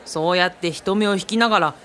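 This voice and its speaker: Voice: mid-range voice, fast, fairly bright tone, lightly acted. A performer playing a casual, knowledgeable young companion who explains things kindly in a radio drama. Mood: explanatory. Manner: easygoing, explanatory.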